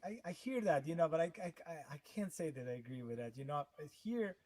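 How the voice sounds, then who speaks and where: A man from Serbia, a native Serbian speaker, hosting a casual livestream chat in a halting way, with many restarts and fillers. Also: fairly high pitch, very animated, a little mellow. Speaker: host.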